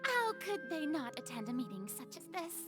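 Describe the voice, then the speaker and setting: A woman's voice: high voice, sing-song, normal speed, loud music behind the voice. An actress playing a haughty young noblewoman in a video game, with a grandiose, theatrical manner. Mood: grand, eager. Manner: grandiose, pompous.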